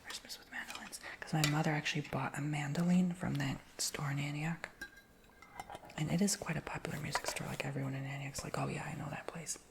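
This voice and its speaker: A woman whispering casually in a show-and-tell video, rambling with lots of fillers and slowly reading out labels. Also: deep voice, fairly even intonation, noisy background. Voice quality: soft whisper with creaky edges. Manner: reads names and titles slowly.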